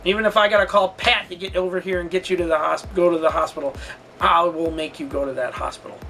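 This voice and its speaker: A man telling a personal story as a vlogger, casual and candid, talking in a halting, rambling way. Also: high-pitched, fairly even intonation, sharp tone, a little hiss or hum. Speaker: storytelling vlogger and channel host.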